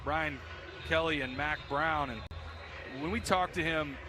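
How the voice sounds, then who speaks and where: A man's voice: on the high side, unhurried, poor audio. A man working as a color commentator, giving analysis during a live football broadcast.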